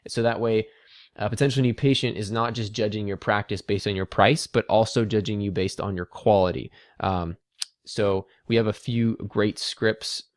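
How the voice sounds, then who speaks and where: American man presenting, slightly low dry voice. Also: steady tone, moderate pace, no room sound. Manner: conversational explainer, addresses the listener directly. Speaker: presenter, dental marketing consultant. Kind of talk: instructional marketing video talk to camera.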